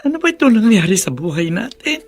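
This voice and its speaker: A woman's voice: medium pitch, big pitch swings, quick pace. An actress playing a weary, worried mother with a Filipino accent and plaintive delivery in a radio drama. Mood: distressed, pleading. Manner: plaintive, emotional. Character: weary, worried, protective poor mother of grown daughter. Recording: music behind the voice.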